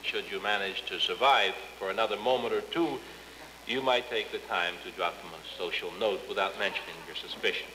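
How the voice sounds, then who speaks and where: A man's voice: lowish voice, a little fast, natural voice. A man playing a pompous, mock-polite radio expert who answers questions with wordy, absurd advice in a comedy skit. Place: radio studio. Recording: noisy old radio record, long reverb.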